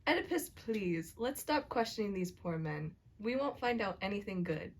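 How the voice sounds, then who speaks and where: A woman playing an anxious, protective queen who pleads gently but urgently, in an amateur puppet show. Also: medium pitch, expressive, moderate pace, close to an everyday voice. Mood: worried pleading.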